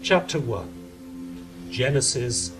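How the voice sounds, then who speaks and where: Narrator for an audio drama: man, mid-range, unhurried scripted delivery over a clear music bed. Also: medium pitch, big pitch swings.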